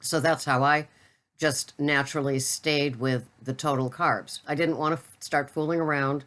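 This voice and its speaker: A woman's voice: deep voice, fairly even intonation, moderate pace, a bit bright. An older woman vlogging in a chatty, rambling, self-deprecating way. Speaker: keto vlogger. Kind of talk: casual talking-head chat.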